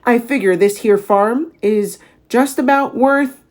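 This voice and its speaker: A woman's voice: a little high, a little slow, darkish tone, voice pushed up, lightly acted. A woman voicing a pompous, bullying male tax official in a storybook, with a demanding, authoritative manner. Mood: smug, official. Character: short, pompous, bullying male tax man, city slicker. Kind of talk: storybook read-aloud character voice.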